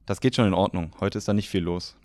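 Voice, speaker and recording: A man's voice: low-pitched, fast talker, a little mellow, no echo. A man voicing a friendly young male footballer who talks in an easygoing, amused way.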